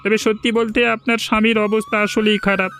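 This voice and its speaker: High-voiced earnest village doctor played by a man. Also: little pitch movement, fast, a bit bright. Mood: earnest, advising. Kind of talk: Bengali cartoon dialogue. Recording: music behind the voice.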